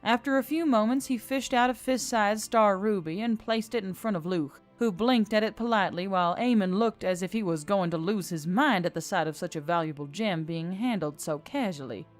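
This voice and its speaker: A woman's voice: mid-range voice, fairly quick, hardly any pauses. A woman narrating a scripted fantasy story as an audiobook reader. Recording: music under the voice.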